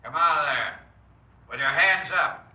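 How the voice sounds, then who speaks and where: A man's voice: a little high, a little slow, muffled recording. A challenging night watchman in an old radio crime drama. He barks commands in a raised, hard-edged voice. It sounds distant and echoey on a dated recording. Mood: stern, challenging. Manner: shouted commands.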